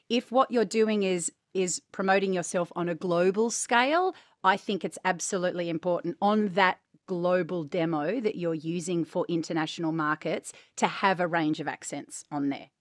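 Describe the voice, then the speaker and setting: A woman's voice: fairly low pitch, no echo. Chatty Australian woman, dry sound. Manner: chatty, often adds "right?". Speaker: podcast co-host, voice actor. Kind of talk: casual chat.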